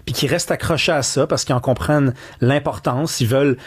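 A man's voice: medium pitch, fairly even intonation, a little fast, slightly rough. A man with a Québécois French accent, talking conversationally and emphatically as a commentator, telling stories and quoting people. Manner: earnest, emphatic storyteller who acts out quotes.

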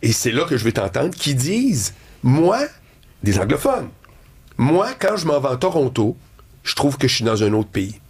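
A man with a Québécois accent hosts a relaxed interview and tells his story in a lively, conversational way. His voice is a little husky, and his pitch moves up and down a lot as he talks. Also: moderate pace. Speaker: interview host. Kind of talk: casual interview chat.

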